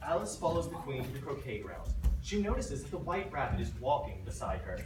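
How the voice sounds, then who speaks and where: A woman's voice: extremely low pitch, big pitch swings, fairly quick, darkish tone. A woman giving scripted storybook narration in a readers theater performance. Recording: distant, echoey, noisy room.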